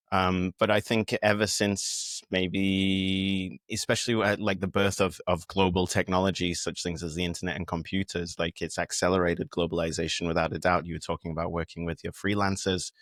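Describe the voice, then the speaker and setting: A British man with a very deep, low voice talks through his ideas. He speaks reflectively, with an easy manner and occasional fillers. The sound is dry and close to the mic. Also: a bit bright, no room sound. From United Kingdom. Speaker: podcast guest. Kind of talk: casual conversation.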